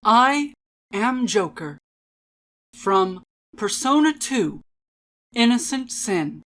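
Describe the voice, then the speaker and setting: A woman's voice: on the high side, sing-song, very slow, heavily acted. A woman playing a coldly mocking, vengeful masked villain in a dramatic video game monologue reading. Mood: cold, ominous. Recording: music behind the voice.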